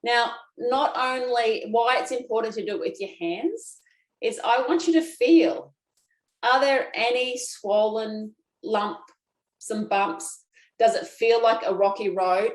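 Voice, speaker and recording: Chatty woman teaching self-massage, slow. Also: mid-range voice, roomy sound, low-quality recording. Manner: chatty, folksy, talks to viewers directly. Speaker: lymphatic drainage instructor. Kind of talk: guided self-massage class.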